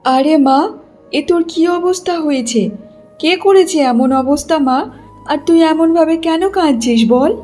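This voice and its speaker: A woman's voice: high voice, measured pace, dark tone, soft background music. A woman playing a middle-aged mother in a cartoon moral story, speaking in an emphatic, dramatic manner. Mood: alarmed, concerned. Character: older mothers: scheming mother-in-law, anxious loving mother. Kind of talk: Bengali cartoon story acting.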